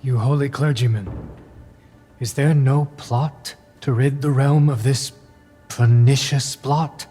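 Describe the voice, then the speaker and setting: Man as a slow, dark-voiced indignant nobleman. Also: medium pitch, steady tone, in a large hall, faint music behind, natural voice. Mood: indignant, grave. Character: indignant nobleman plotting against a usurper. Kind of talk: Shakespearean radio drama acting.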